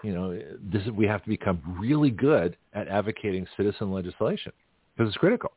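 Deep fast-talking man on a phone line. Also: sing-song, slightly rough, dry recording. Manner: rambling, laughs often at his own jokes. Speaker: radio show host. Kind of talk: casual political call-in chat. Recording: phone-like narrow band.

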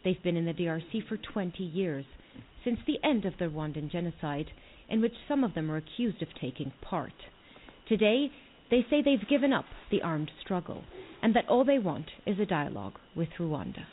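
A woman correspondent reading a scripted news report in a measured, formal way. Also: lowish voice, big pitch swings, slight background noise. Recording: tinny phone-like playback.

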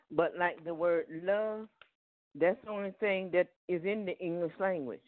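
Southern preacher on a tinny phone line. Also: male voice, on the high side, no room sound. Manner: peppers speech with "Amen" and "Hallelujah". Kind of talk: Bible teaching sermon.

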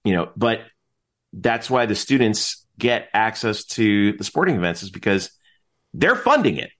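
A man's voice: on the low side, unhurried. A middle-aged man talking casually as an interview guest, explaining in a rambling way and often interrupting himself mid-thought. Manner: rambling, animated explaining with frequent self-interruptions. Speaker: interview guest, announcer and teacher.

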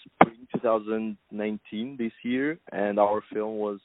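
A man's voice: lowish voice, steady tone, measured pace. Man from Kazakhstan on a tinny phone line. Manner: hesitant, many fillers. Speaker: film producer. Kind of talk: phone interview. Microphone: telephone.

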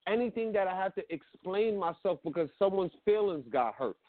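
A man's voice: high-pitched, animated, a little fast, no echo. A man speaking as a guest on a talk show, frank and blunt, often restarting with repeated fillers. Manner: frank, repetitive, many fillers. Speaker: guest, media creator. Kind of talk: casual interview talk. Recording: dry, phone-like call audio.

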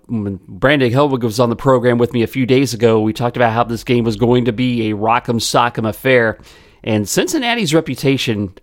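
Slightly raspy man hosting sports talk. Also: medium pitch, no room sound, studio quality. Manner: chatty, many filler pauses. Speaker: sports podcast host.

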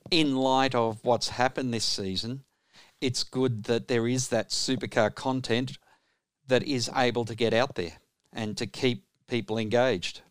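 Male co-host, smooth, resonant voice, mid pitch, measured questions, dry studio sound. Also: fairly even intonation, no echo. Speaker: radio interviewer.

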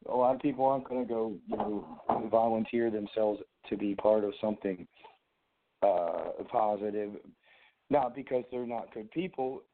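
A man's voice: fairly low pitch, moderate pace, slight background noise. Froggy-voiced man calling in on a phone. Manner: rambling, many restarts and fillers. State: sore throat, froggy voice. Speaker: caller, fellow show host. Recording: tinny phone line.

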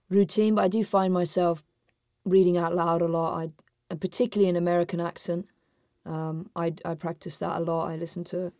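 A woman with a naturally husky voice that sits low, speaking thoughtfully in an interview and often circling back to restart a phrase. The sound is dry and close, with no room around it. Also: warm tone, dry recording. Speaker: voice actor guest. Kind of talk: conversational interview answers.

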